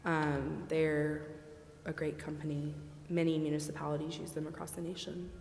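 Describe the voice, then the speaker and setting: A woman's voice: low voice, level tone, normal speed, darkish tone. A woman on city staff explaining things informally at a council meeting. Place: council chamber. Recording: echoey council chamber with noise.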